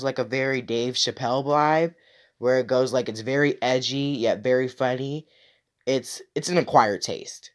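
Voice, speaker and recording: A man talking casually to viewers as an enthusiastic, opinionated reviewer who leans on emphatic repetition. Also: medium pitch. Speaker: YouTube reviewer, host.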